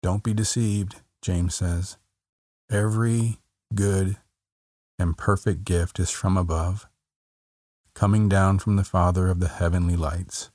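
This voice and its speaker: Casting: man with a very low voice, unhurried, gentle meditation guide, dry close sound. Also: no echo. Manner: slow, soft, calm, repeats phrases with long pauses.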